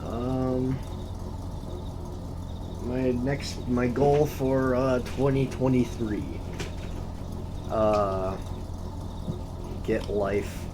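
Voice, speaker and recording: A teenage boy co-hosting a casual chat, hesitant with dry deadpan quips. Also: mid-range voice, drawn-out words, long pauses. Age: teens. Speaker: co-host. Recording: noisy background.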